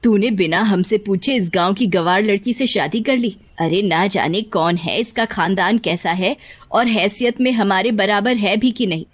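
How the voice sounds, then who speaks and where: A woman's voice: mid-range voice, moderate pace, natural voice. A woman voicing a domineering, scheming older mother-in-law in a cartoon story. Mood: scolding. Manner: scolding, domineering, falsely sweet to her son. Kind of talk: Hindi cartoon story voice acting.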